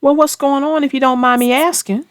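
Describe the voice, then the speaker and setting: A woman hosting a children's read-aloud show, chatting about a book with young readers in deliberate, emphatic phrases. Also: high-pitched, steady tone, dry recording, studio quality.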